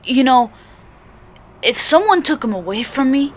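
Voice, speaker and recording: A woman's voice: high voice, big pitch swings, unhurried, slight background noise. A woman playing a naive, earnest little child with slangy street talk in an old-time radio play. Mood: stubborn, upset. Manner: plain slangy kid talk.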